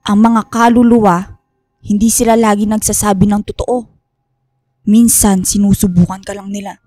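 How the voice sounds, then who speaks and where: Woman acting secretive female professor, Filipino accent, stern, cryptic, deliberate, mid-pitched, dry studio sound, radio horror drama. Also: little pitch movement, mellow, no echo, lightly acted. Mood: grave, cryptic.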